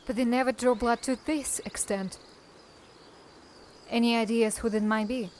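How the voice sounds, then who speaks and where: A woman plays a young drow assassin in a cinematic fantasy. Her voice sits fairly high, and she speaks in terse, deliberate phrases with a wary edge. There is faint outdoor noise behind her. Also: steady tone, darkish tone, close to an everyday voice. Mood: guarded, questioning.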